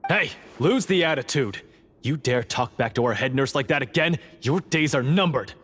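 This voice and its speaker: A man voicing a brisk, blunt prison guard in a game. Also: medium pitch, animated, a bit bright, a little hiss or hum, lightly acted. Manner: brisk, blunt, polite to superiors. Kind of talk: game voice acting.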